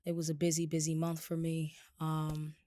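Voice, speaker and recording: A woman co-hosting a podcast, a little raspy from a cold, talking casually and rambling with lots of fillers. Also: deep voice, moderate pace, no echo, studio quality. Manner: relaxed, rambling with many fillers. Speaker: podcast co-host. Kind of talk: casual chat.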